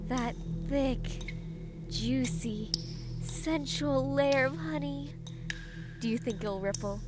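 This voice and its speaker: A high, slow-paced female voice acted by a woman plays a brash, mischievous succubus in a fantasy audiobook. She stretches words out teasingly. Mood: playful, teasing. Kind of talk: amateur audiobook voice acting. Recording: loud music bed.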